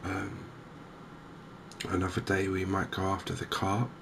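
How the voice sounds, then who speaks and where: A man giving softly spoken, gentle ASMR game commentary in an unhurried, hesitant way. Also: low voice, completely flat delivery, gravelly, noisy background. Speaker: host of a cozy gaming channel.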